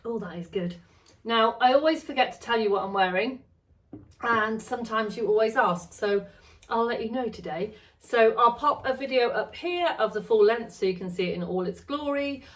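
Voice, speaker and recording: A woman chatting casually to camera about sewing from her home studio, her voice a little gravelly, rambling and correcting herself as she goes. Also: a little high, normal speed, distant mic, low-quality recording. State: slightly gravelly voice. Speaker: sewing vlogger.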